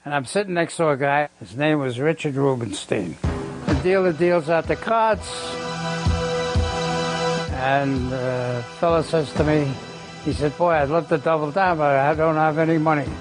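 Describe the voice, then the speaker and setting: An older man with a somewhat high voice tells anecdotes in an interview. He is plain-spoken and salty, like a casual raconteur. Guitar music plays clearly behind him. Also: moderate pace. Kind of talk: anecdotal conversational storytelling.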